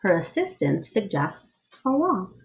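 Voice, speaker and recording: A woman reading a children's picture book aloud expressively, with deliberate, dramatic phrasing and asides to young listeners. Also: medium pitch, sing-song, creaky, a little hiss or hum, poor audio. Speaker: read-aloud storyteller.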